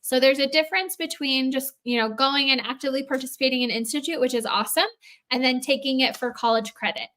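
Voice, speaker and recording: A woman's voice: sits high, fast talker, fairly bright tone. A woman on a live stream answering viewers' questions in a patient, explanatory way, with frequent filler words. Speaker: program staff answering questions.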